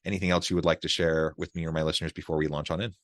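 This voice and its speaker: Deep voiced guy hosting a podcast, dry no-room sound, stumbles and repeats a bit. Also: level tone, rapid-fire, no room sound. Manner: warm praise, repeats words while starting sentences. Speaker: podcast host. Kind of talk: podcast interview. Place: south of Salt Lake City.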